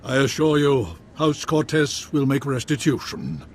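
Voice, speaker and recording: An actor playing a proud nobleman baron in a video game, with a husky voice and a stately, dramatic, formal manner. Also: mid-range voice, expressive, measured pace, slight background noise, natural voice. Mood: weary, formal.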